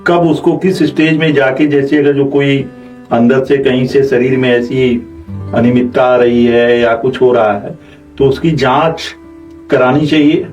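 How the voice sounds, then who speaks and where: A man interviewing a guest conversationally, phrasing his points in a halting, roundabout way. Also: medium pitch, steady tone, a little mellow. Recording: dull, distant mic, faint music behind.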